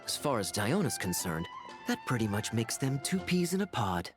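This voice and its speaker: A man's voice: medium pitch, very animated, normal speed, lightly acted. A man voicing a suave, laid-back young swordsman in a video game, sardonic and playfully teasing. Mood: amused, teasing. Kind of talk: video game character dialogue. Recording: faint music behind.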